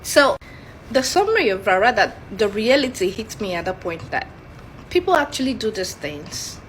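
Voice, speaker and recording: A woman's voice: medium pitch, big pitch swings, moderate pace, rough recording. A woman with a Nigerian accent telling a personal story as a complaint, acting out the dialogue she quotes. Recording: clear ambient background noise.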